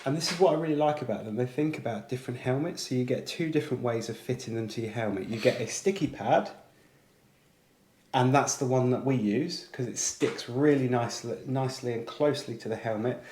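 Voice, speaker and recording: Man, casual unboxing presenter, chatty and informal, medium pitch, echoey room. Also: a little fast, darkish tone. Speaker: product reviewer and presenter. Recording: echoey room, faint background noise.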